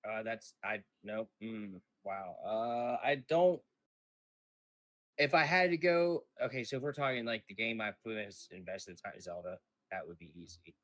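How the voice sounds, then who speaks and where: Low, bright American man, very dry sound. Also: animated, unhurried, no echo. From New York City, United States. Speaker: podcast guest, streamer. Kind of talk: casual chat.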